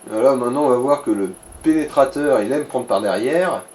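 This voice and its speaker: A man's voice: medium pitch, brisk, darkish tone. A man co-hosting a let's play, chatting casually and with crude banter over the gameplay. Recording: roomy echo, music behind.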